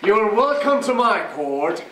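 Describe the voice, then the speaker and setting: A man's voice: high voice, sing-song, slow, exaggerated voice. A man acting a pompous North Pole magistrate on stage, speaking in a grandly formal, declamatory way. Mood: solemn, commanding. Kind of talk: theatrical stage monologue. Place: indoor theatre stage. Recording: echoey theatre, distant mic, faint noise.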